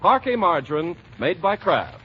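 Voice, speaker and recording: Lively 1940s radio ad announcer, male. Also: sits high, normal speed, soft background music. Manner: polished, emphatic salesmanship. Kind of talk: 1940s scripted radio advertising narration. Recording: slightly muffled, hissy 1940s radio broadcast.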